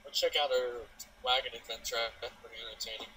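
A man's voice: medium pitch, steady tone, fast talker, sharp tone. Young guy ribbing his buddy, sounds far from the mic, noisy line. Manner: teasing, rambling. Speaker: gaming friend. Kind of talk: casual gaming chat. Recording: distant mic with clear noise.

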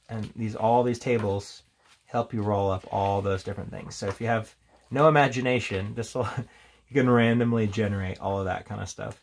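Low, gravelly man reviewing casually. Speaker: tabletop game reviewer. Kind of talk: casual unscripted product walkthrough. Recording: steady background noise, handling clicks.

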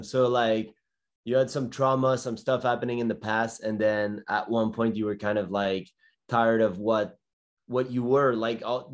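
A man's voice: on the low side, darkish tone. A man in his thirties hosting a podcast interview, talking casually in loose, informal phrasing. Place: small bedroom indoors. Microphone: video call microphone.

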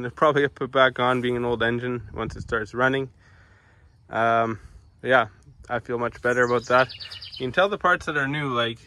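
A man in his forties hosting a casual hobby vlog, talking viewers through his work in a relaxed, chatty way from a sunroom at home. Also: mid-range voice. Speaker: vlog host. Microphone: camera at a distance.

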